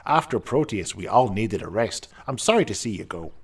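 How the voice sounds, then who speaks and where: An actor playing a warm-hearted, weary hero in an audio drama, with a wistful, hearty manner. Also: male voice, lowish voice, big pitch swings, a little fast, breathy edge, distant mic. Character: weary warm-hearted Irish mutant hero, grown man.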